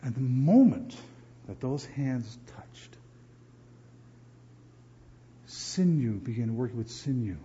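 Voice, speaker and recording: A man from New Jersey preaching a sermon as pastor, animated and often repeating words as he builds emphasis. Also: mid-range voice, slow, pauses often, muffled recording.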